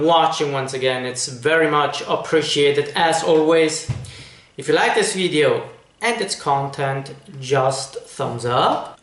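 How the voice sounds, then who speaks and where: A man presenting a reaction review as an online host, with measured, formal wording addressed straight to viewers. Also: fairly high pitch, a bit bright. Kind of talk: reaction review commentary to camera. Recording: echoey, reverberant room.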